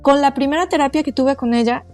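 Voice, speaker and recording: A woman, a guest speaking earnestly in a halting way, often searching for words. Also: sits high, a little mellow, no room sound, soft background music. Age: 40s. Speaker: guest, quantum therapist. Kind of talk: casual interview conversation.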